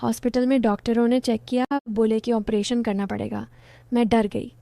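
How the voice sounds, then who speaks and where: A woman narrating a first-person story in a steady storytelling tone. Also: fairly high pitch, moderate pace, gravelly, no echo, clean studio sound.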